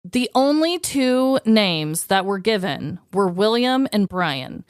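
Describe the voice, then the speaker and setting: A woman's voice: mid-range voice, sing-song, takes it slowly, clear, resonant tone, dry recording, studio quality. A woman hosting a podcast, moving between scripted true-story narration and casual chat.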